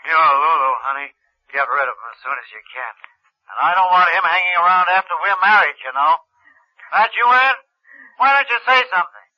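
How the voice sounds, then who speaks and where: An American actor playing a cocky, scornful lover and accomplice in vintage radio drama, wisecracking and bossy. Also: male voice, high-pitched, animated, brisk. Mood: smug, impatient. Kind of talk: 1940s-50s American radio drama acting. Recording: muffled vintage radio broadcast.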